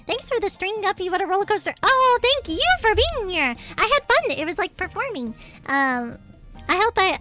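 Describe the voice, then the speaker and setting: A woman streamer chatting casually with her livestream viewers in a bubbly, cutesy, expressive manner. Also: high voice. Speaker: streamer, VTuber.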